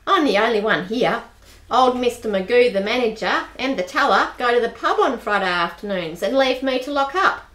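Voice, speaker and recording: A brisk, bossy spinster clerk in her forties, played by a woman in a homemade radio drama. She talks in a matter-of-fact way with a lively, fairly high voice. The room around her sounds echoey. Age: 40s. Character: bold forty-something spinster bank clerk. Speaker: radio play actor. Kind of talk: amateur radio play acting.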